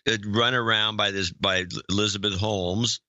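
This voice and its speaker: A man's voice: lowish voice, steady tone, normal speed, sharp tone, no echo, dull audio. A man co-hosting a podcast in casual chat, blunt and opinionated. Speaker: podcast co-host.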